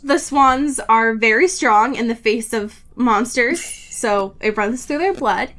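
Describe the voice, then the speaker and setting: A woman's voice: on the high side, takes it slowly, a bit bright. A woman co-hosting a podcast, casually recapping a book in a gushing, sweary way. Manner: gushing, sweary, often laughing. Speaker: podcast co-host.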